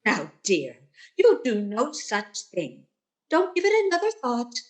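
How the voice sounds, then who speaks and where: A woman's voice: on the high side, huge pitch swings, measured pace. A woman playing a motherly older landlady in a radio sitcom, wheedling and guilt-tripping with martyred self-effacement. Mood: coyly martyred.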